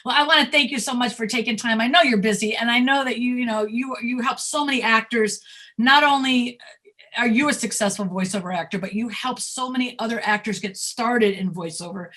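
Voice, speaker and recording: High, bright-voiced woman hosting an interview. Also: fairly quick. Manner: effusive, complimentary. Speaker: host, talent manager. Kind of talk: enthusiastic conversational interview.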